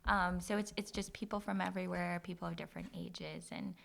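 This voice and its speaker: A woman's voice: on the low side, flat delivery, brisk, vocal fry. A young woman from Uganda, a podcast guest talking casually and modestly about herself. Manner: modest, soft-spoken, laughs a little. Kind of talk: casual chat.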